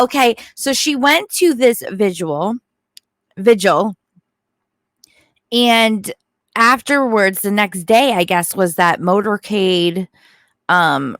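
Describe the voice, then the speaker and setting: A woman's voice: a little high, fairly bright tone, no echo. A woman giving casual, opinionated true crime commentary in a blunt, informal way.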